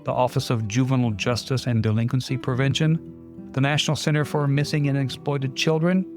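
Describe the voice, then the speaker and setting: A man's voice: mid-range voice, level tone, a little fast, a little mellow. A man hosting a podcast, reading scripted announcements and credits. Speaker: podcast host. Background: guitar music.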